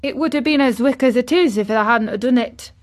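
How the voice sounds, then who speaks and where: A woman's voice: on the high side, fairly quick, voice pushed up, lightly acted. A woman voicing an eager, plain-spoken country boy in a storybook.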